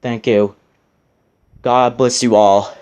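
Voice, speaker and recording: A man playing a solemn government leader, giving a scripted emergency address in an earnest, halting amateur read. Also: medium pitch, flat delivery, a little mellow, smooth, close to an everyday voice. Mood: solemn, resolute.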